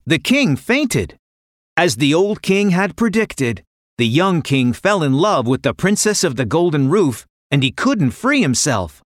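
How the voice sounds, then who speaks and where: A man's voice: a little high, very animated, unhurried, no echo, studio quality. A man narrating a children's fairy tale in a dramatic, playful storytelling manner. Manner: dramatic, playful sound words.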